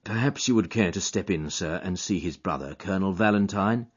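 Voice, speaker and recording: A man reading an audiobook voices a solemn, formal butler who speaks slowly and gravely. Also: low-pitched. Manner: slow, grave. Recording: dull lo-fi audiobook recording.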